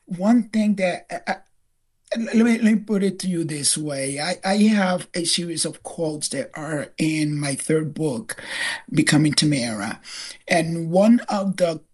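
Older woman guest author, husky and unhurried, hesitant emotional delivery. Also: low-pitched, darkish tone. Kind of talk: personal interview.

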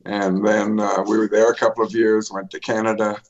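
An older man, an author, telling his life story in a radio interview, pausing often with fillers and restarts. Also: fairly low pitch, little pitch movement, lo-fi sound. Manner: rambling, many fillers and restarts. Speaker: author guest.

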